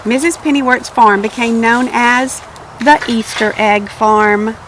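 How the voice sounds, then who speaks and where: A woman's voice: on the high side, steady tone, a little slow, noisy background. A woman narrating a children's storybook expressively. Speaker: storybook reader.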